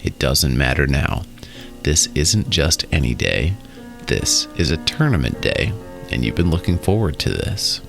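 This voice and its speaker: A man narrating a guided relaxation in a soothing, instructional way, in short phrases with pauses. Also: extremely low pitch, big pitch swings, gravelly, fairly bright tone. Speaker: guided meditation host. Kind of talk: guided meditation and hypnosis narration. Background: music.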